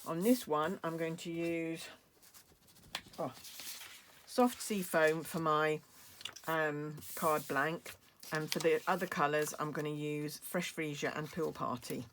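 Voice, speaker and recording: A British woman demonstrating a craft project, chatty and explaining each step with fillers, her voice going hoarse. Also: low voice, steady tone, takes it slowly, a bit bright, a little hiss or hum. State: losing her voice, coughing. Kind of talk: crafting tutorial.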